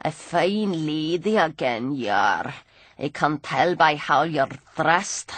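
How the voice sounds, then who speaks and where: Lady doing a gross old drunk guy, cracked raggedy voice, slow sneery drawl, low and muttery. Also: lively intonation, no echo, exaggerated voice. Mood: sly, wheedling. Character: drunken grimy old male street musician, sly.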